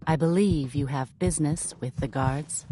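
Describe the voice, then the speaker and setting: Woman playing formal game npc greeter, kinda stiff, low pitched, noisy audio. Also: moderate pace, natural voice. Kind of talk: scripted video game dialogue.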